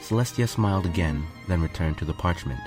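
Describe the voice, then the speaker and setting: A man's voice: deep voice, lively intonation, fairly quick, a little mellow, soft background music. A man reading a first-person fan fiction story as scripted narration.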